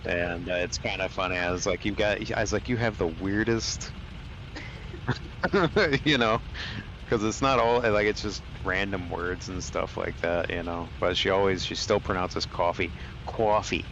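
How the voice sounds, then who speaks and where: A man's voice: deep voice, unhurried, a bit bright, dull audio, a little hiss or hum. A man from Wisconsin chatting casually and laughing often while flying a sim with friends. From Wisconsin, USA. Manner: easygoing, laughs often, storytelling. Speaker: flight sim pilot in group stream. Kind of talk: casual chat.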